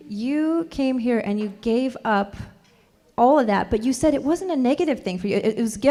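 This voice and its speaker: Female host, fairly high voice, friendly and chatty, reverberant hall. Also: warm tone. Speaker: host, interviewer. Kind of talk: interview hosting.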